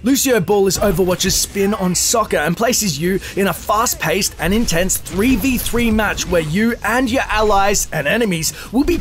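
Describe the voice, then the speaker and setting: A man reading scripted gaming news as a video host, in an upbeat promotional manner. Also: high-pitched. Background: music.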